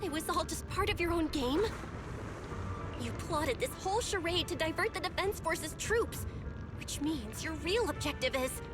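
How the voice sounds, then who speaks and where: A woman voicing a sharp, resolute young heroine in a video game, with an earnest, deliberate and pointed delivery. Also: very high-pitched, animated. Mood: accusing, earnest. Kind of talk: dramatic video game voice acting. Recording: loud music behind the voice. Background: dramatic game music.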